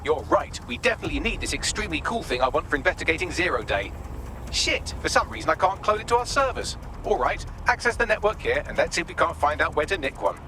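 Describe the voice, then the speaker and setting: An actor playing a witty, sardonic AI hacker assistant in a video game, with dry, breezy, wordy sarcasm. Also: male voice, on the high side, expressive, fast, background noise. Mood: sarcastic, brisk. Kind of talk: scripted video game dialogue.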